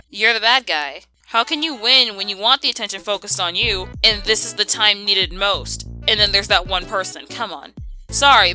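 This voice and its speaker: A woman's voice: mid-range voice, bright, forceful tone. A young woman delivering a casual scripted rant in an exasperated, sassy and opinionated way. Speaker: video creator.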